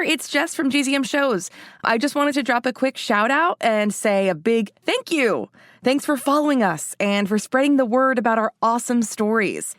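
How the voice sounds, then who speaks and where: A woman's voice: fairly high pitch, no echo. Upbeat woman reading a dry-sounding promo. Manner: upbeat, friendly, conversational. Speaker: ad reader for a podcast network. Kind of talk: scripted promotional read.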